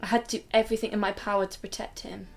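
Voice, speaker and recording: A woman's voice: mid-range voice, little pitch movement, rapid-fire, music in the background, natural voice. Woman playing earnest protective grandmother recounting hardship. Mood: solemn, reflective. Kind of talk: radio drama acting.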